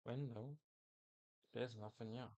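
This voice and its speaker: A man's voice: medium pitch, fairly even intonation, slow, vocal fry, mellow, no echo. A man live-streaming a video game, commenting in a slangy, offhand way. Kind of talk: casual live gaming commentary, reading dialogue aloud.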